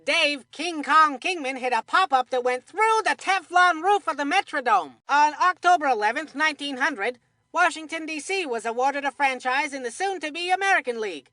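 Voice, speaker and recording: High, chatty kid sports commentator. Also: female voice, lively intonation, normal speed, bright, forceful tone, no echo. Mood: upbeat, informative. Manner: chatty, folksy. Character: chatty kid baseball commentator, cheerful trivia buff. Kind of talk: scripted game commentary, baseball trivia.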